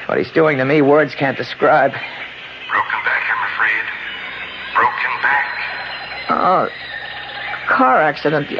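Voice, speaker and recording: An actor playing an anguished, broken, enslaved man, weak and bitter, with a strained creaky voice, in a 1970s radio drama. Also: mid-range voice, lively intonation, slow pace, long pauses. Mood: agonized bitter. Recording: dull, noisy 1970s radio recording.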